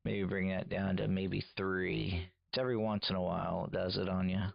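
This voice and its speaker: A man's voice: deep voice, little pitch movement, normal speed, creaky, lo-fi sound, very noisy. A man giving casual, patient, step-by-step technical coaching to another radio operator.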